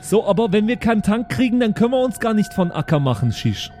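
A man's voice: high-pitched, very animated, quick pace, dark tone, faint music behind. A man playing a cocky young spaceship crewman in an improvised audio play, slangy, with a verbal tic tagged onto sentences. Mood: cheeky, slangy.

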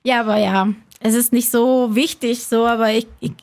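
A woman's voice: on the high side, steady tone, normal speed, a little mellow. A woman in her forties chatting casually and candidly as a podcast guest, often repeating words as she searches for phrasing.

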